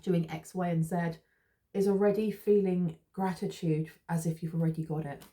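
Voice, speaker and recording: A woman talks through a tarot reading in a loose, rambling way, full of fillers and restarts. Her voice sits a little low and sounds mellow and relaxed. She keeps a gently reassuring tone toward her listeners. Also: normal speed. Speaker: tarot reader. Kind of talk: casual spiritual tarot reading.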